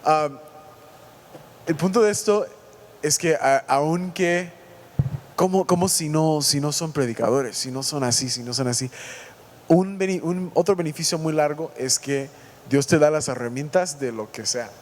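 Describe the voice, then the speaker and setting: A man from the United States with an American English accent, a native English speaker, hosting a casual church podcast and talking in a halting, self-correcting way. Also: on the high side, a little mellow. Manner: halting, self-correcting, joking about his Spanish. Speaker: podcast host.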